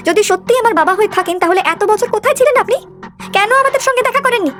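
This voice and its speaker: Woman acting a distrustful daughter, cartoon village drama, extremely high voice, quick insistent delivery, faint music. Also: lively intonation, fairly bright tone. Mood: suspicious, accusing. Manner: questioning, insistent. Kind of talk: Bengali animated ghost story voice acting.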